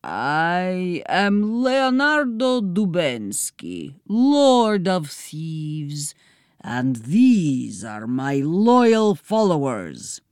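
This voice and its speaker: A woman voicing a pompous, menacing male lord of thieves with a booming Eastern European accent, in a storybook reading. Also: big pitch swings, extremely slow, clear, resonant tone, no echo, studio quality, heavily acted. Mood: boastful. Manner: pompous, theatrical.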